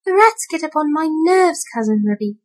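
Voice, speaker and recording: A woman's voice: high voice, lively intonation, normal speed, pitched above the performer's own voice. A woman voicing an anxious, tearful mother cat who talks in a fretful, lamenting way.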